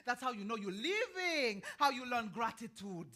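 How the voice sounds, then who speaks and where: An actress with an American accent playing a chatty, nosy older gardener in a staged play reading, meandering and prodding. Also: female voice, a little high, very animated, moderate pace, bright, forceful tone, exaggerated voice. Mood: wise, reflective. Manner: folksy, meandering, prodding with questioning hums.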